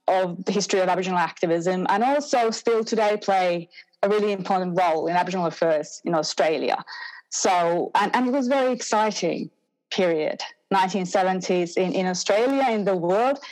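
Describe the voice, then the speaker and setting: A middle-aged woman with a Finnish accent, answering as an academic interview guest in halting, thoughtful phrases. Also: medium pitch, a little mellow, poor audio. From Finland.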